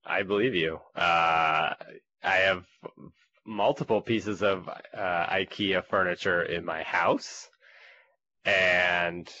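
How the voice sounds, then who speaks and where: A man's voice: low-pitched, steady tone, slow pace, pushed and bright. A man co-hosting a podcast, chatting casually and joking in a laid-back way. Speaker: podcast co-host. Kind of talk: casual chat. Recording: dull lo-fi sound with faint noise.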